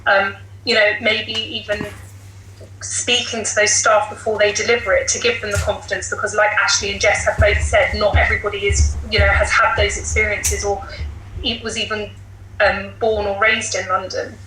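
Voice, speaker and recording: Female teacher on a podcast panel. Bright voice, flat intonation, practical and measured, slightly noisy echoey room. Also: mid-range voice. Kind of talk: casual panel discussion. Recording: roomy echo, faint background noise.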